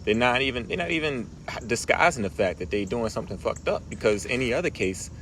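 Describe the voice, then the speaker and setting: A man outdoors on a city street, reading scripture aloud in a measured, deliberate way and adding commentary. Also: mid-range voice, lively intonation, fairly bright tone, a little hiss or hum. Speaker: scripture reader in a street teaching group.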